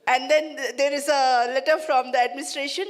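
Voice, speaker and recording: A woman's voice: high voice, fairly even intonation, brisk. A woman with an Indian English accent, moderating a conference session in a chatty, hesitant way. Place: conference hall. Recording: reverberant hall.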